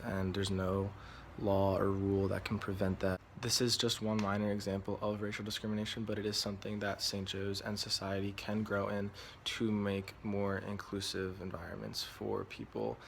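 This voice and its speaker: A young man, a student, narrating an interview segment in a measured, earnest way. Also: on the low side, vocal fry, slight background noise. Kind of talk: scripted narration.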